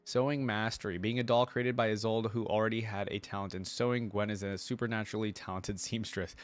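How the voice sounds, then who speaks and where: A man hosting a reaction video, reading aloud and commenting informally as he thinks out loud for viewers. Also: lowish voice, flat delivery, brisk.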